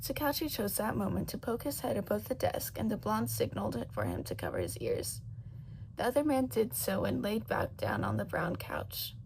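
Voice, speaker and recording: A woman reading a story as a narrator, in an expressive, dramatic scripted style. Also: medium pitch, normal speed, vocal fry, a little hiss or hum, low-quality recording. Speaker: audiobook narrator of fan fiction.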